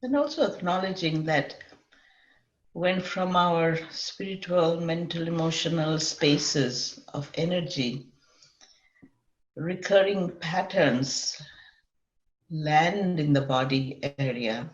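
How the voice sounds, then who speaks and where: Female meditation guide, measured and unhurried with long mid-sentence pauses, slightly dull lo-fi sound in a roomy space. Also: sits low. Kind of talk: guided meditation, semi-improvised. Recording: dull lo-fi, echoey room.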